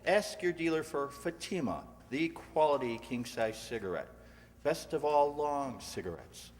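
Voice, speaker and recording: A man playing a 1950s radio cigarette pitchman, selling emphatically in the style of a vintage advertisement. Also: lively intonation, unhurried. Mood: salesman-like. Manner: emphatic, salesman-like. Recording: reverberant hall.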